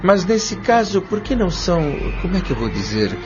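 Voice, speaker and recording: A man's voice: sits high, very animated, a little fast. A Brazilian man playing an earnest, inquisitive newcomer to the spirit world in an audio drama, speaking politely with searching hesitation. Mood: troubled, questioning. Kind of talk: audio drama acting, questioning dialogue. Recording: dull lo-fi audio over music.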